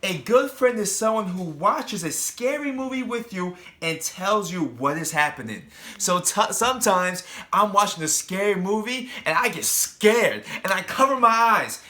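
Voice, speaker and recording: Slow-paced, high-voiced man reading to children. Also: expressive, pushed and bright. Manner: addresses young children directly, asking questions. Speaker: teacher reading a picture book aloud. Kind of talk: children's read-aloud with chatty asides.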